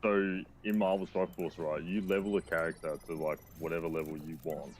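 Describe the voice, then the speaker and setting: A man's voice: low-pitched, flat delivery, brisk, slight background noise. A man nearing thirty chatting casually about games, loose and profane, with many filler words. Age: 20s. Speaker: co-streamer. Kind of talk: casual gaming chat.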